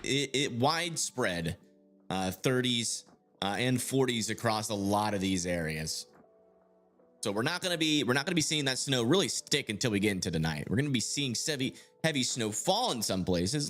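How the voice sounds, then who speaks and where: A man's voice: medium pitch, fairly bright tone. A man hosting a casual weather livestream, thinking aloud with many fillers as he checks an app. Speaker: livestream host.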